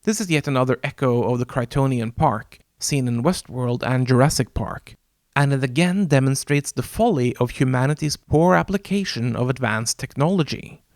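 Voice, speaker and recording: A man whose first language is Norwegian, hosting a video essay in a measured, wry scripted narration with dramatic pauses. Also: mid-range voice, lively intonation, a little mellow, no echo, clean studio sound. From Norway.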